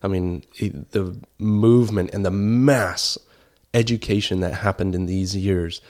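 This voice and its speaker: A man from Kenya talks as a guest in a conversational interview. His voice sits fairly low, and he explains things earnestly, repeating phrases to press a point. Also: fairly even intonation, a little slow, a little mellow. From grew up in Kenya.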